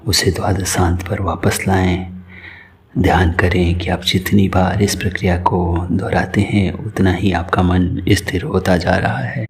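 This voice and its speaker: A man narrating a guided meditation in a calm, soothing, unhurried way, with soft breathy edges to his voice. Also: low voice, a little hiss or hum.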